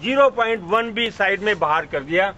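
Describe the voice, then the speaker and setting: A man with a notably high voice instructs a practical first aid class. He goes through the technique step by step and checks that it is understood. The outdoor recording is dull and muffled. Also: moderate pace, crowd noise. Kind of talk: hands-on demonstration teaching.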